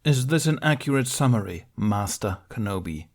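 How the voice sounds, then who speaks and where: A man's voice: lowish voice, lively intonation, normal speed. A man voicing a stern, exasperated council master in an audiobook, formally interrogating in a businesslike, accusing way. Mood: cold, bitter. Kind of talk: audiobook character dialogue.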